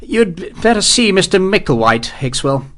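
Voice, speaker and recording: A man's voice: sits high, big pitch swings, normal speed, fairly bright tone, pitched above the performer's own voice. A man voicing a scheming army captain who gives orders in a clipped, commanding way. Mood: sly.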